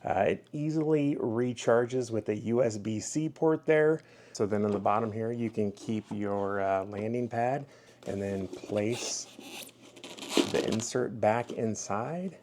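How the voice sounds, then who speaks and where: Man, low pitch, gravelly fry, casual product reviewer, hands-on demonstration talk. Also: slow, darkish tone, slight background noise. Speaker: product reviewer, channel host.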